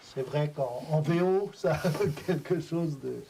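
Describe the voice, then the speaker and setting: A man's voice: on the high side, slow pace, creaky, mellow, a little hiss or hum. A middle-aged man moderating a live round-table, speaking hesitantly with many fillers.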